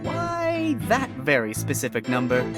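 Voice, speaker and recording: A man's voice: a little high, huge pitch swings, unhurried, over music. A man voicing a sarcastic, wisecracking male fox defense attorney with a dry, exasperated delivery.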